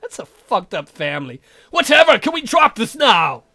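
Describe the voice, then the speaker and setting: A man's voice: very high-pitched, huge pitch swings, moderate pace, sharp tone, husky, soft background music, pitched above the performer's own voice, full character voice. A man voicing a sardonic young vampire count who is dry and irritable with his family. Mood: irritated, sardonic.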